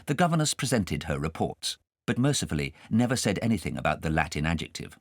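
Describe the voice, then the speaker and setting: A man's voice: sits low, sing-song, fast talker, no echo, studio quality. A man reading a children's story as scripted audiobook narration.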